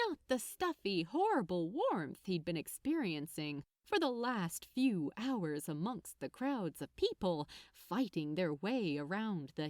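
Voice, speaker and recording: A woman's voice: mid-range voice, no room sound, clean studio sound. A woman reading a story aloud as narrator, in scripted storytelling style. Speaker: narrator, fan fiction reader.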